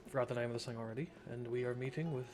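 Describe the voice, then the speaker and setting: A man hosts a casual let's play, talking off the cuff as he plays. His voice sits at an ordinary pitch. Game music plays behind him. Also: fairly even intonation, very fast, darkish tone. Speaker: streamer, gameplay host.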